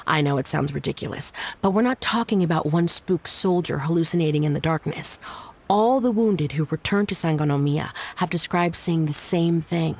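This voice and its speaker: A woman playing a wry but dutiful shrine subordinate in a video game, creaky-voiced, composed and articulate. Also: low-pitched, moderate pace, darkish tone. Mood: serious, reporting. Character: adult female shrine subordinate, wry, teasing yet dutiful.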